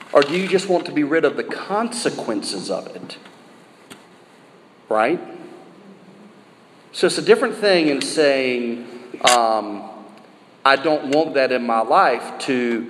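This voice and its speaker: Middle-aged American preacher in a reverberant hall. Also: male voice, medium pitch. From United States. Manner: emphatic, conversational, rhetorical questions and repetition for emphasis. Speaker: pastor preaching to a church. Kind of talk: sermon. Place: large indoor room, live sermon. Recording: roomy hall reverb, some background noise.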